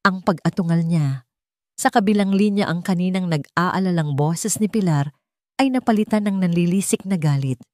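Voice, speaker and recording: A female storyteller reads scripted drama. Her voice sits on the low side and is dark and relaxed. The recording is close and dry. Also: moderate pace, dry recording. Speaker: audiobook narrator.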